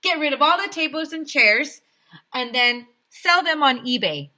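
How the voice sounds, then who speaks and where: Bossy storybook mayor acted by a woman, male figure, domineering, declaiming, very animated, sharp forceful tone. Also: high-pitched, measured pace, slight background noise, voice pushed up, exaggerated voice. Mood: gleefully ruthless. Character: blustering, bossy, penny-pinching male town mayor. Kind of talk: children's storybook read-aloud.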